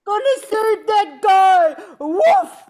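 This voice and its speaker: A woman's voice: extremely high pitch, big pitch swings, extremely slow, a little mellow, exaggerated voice. A woman voicing an eager, heroic little cartoon dog in a radio play, barking between phrases.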